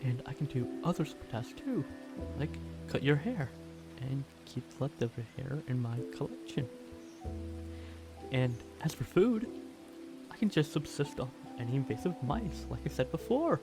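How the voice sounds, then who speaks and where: A man voicing a clingy, devoted stray catboy in an ASMR roleplay, pleading and submissive, in halting phrases. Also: medium pitch, expressive, natural voice. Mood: timid, pleading. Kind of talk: ASMR roleplay monologue addressed to the listener. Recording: loud music and rain behind. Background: music and rain.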